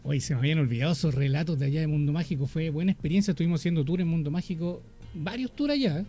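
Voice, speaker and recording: Chilean dude, high voice, talks super fast, warm mellow tone, music low in the back. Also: male voice. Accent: Chilean Spanish. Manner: lively, quick storytelling. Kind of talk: casual radio conversation. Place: radio studio.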